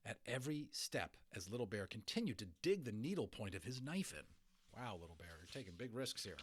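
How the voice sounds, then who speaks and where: A man's voice: on the low side, very animated, a little fast, breathy edge, dry recording. A man reading a children's novel aloud as dramatic storybook narration. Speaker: amateur audiobook reader.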